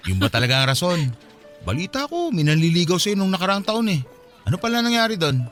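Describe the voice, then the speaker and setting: A man's voice: sits high, big pitch swings, quick pace, a bit bright, faint music behind. An actor playing a friendly 27-year-old Filipino man in a radio drama, chatty and teasing in a casual way. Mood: teasing, curious. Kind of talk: Tagalog radio drama dialogue.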